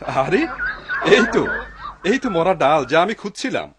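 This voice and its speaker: A man's voice: a little high, sing-song, measured pace. A man voicing a humble, devout woodcutter in a cartoon, kind and cautious, musing aloud to himself expressively. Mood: cheerful. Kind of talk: dubbed cartoon voice acting. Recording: clear background noise behind the voice.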